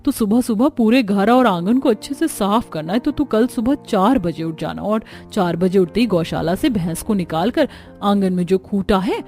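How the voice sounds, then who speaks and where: A woman's voice: mid-range voice, animated, normal speed, warm tone, faint music behind, natural voice. A woman voicing a bossy older village aunt-in-law who gives household orders in a matter-of-fact way in a cartoon story. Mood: apologetic, instructing. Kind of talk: Hindi cartoon story dialogue.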